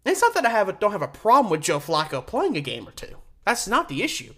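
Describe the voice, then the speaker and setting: Animated, emphatic male sports host. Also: high-pitched. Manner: opinionated, emphatic, rhetorical pauses and questions. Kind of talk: solo sports commentary.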